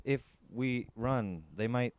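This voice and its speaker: A man's voice: mid-range voice, takes it slowly, close to an everyday voice. A man voicing an awed, uneasy teenage boy hero in an audiobook, earnest and hesitant. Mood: wary joking.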